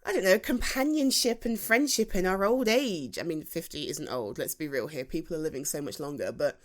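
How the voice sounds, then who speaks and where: A British woman playing a playful, affectionate best friend in an intimate audio roleplay, speaking in a halting, giggly, conversational way. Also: sits low, close to an everyday voice. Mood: thoughtful, musing. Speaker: ASMR roleplay voice performer. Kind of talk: intimate, naturalistic audio roleplay addressed to the listener.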